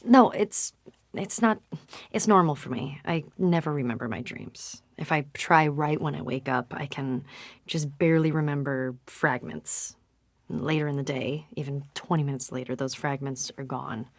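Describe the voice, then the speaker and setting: Low, creaky-voiced reflective researcher. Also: female voice, normal speed, no room sound. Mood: hesitant, casual. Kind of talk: audio drama reading and conversation.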